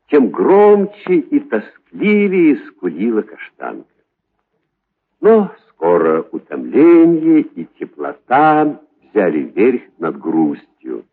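A man narrating a children's story as an audiobook, expressively, with dramatic, deliberate emphasis. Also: mid-range voice. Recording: thin, narrow-band phone-like sound.

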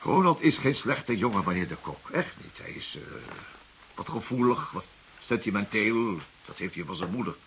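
A man's voice: on the low side, animated, moderate pace, fairly bright tone, a little hiss or hum. An actor playing an anxious, well-to-do middle-aged father and art collector in a radio play, hesitant, stammering and repeating himself. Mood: reluctant, sad. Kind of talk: Dutch radio play acting.

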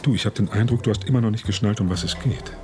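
An actor playing a cocky, wisecracking police assistant in a radio crime drama. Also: male voice, lowish voice, very fast, darkish tone, soft background music, lightly acted. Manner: wisecracking, cheeky, sarcastic. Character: cocky young male detective assistant, Philipp.

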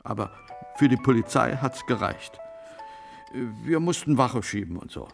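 A man's voice: mid-range voice, lively intonation, measured pace, loud music behind the voice. An actor playing an old father who dryly reminisces about the war in a naturalistic radio drama. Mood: dry, reminiscing. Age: older. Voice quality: creaky. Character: old German father, wartime auxiliary policeman, dryly reminiscing.

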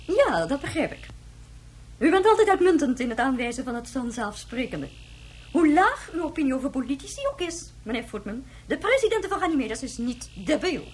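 A woman's voice: high-pitched, big pitch swings, a little fast, slightly rough, exaggerated voice. A woman playing an imperious, sceptical head of state in a radio drama, speaking in a formal, dry way. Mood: impatient, cutting.

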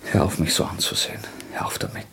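A man's voice: fast talker, fairly bright tone, in a whisper, lightly acted. An actor playing a tormented, defiant young man under suspicion in a German radio drama, tense, brooding and abrupt. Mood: anguished, confessing.

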